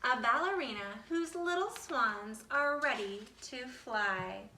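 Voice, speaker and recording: A woman reading a children's picture book aloud expressively, with deliberate, dramatic phrasing. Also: a little high, expressive, pushed and bright, a little hiss or hum. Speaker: storybook reader. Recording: roomy echo, distant from the mic.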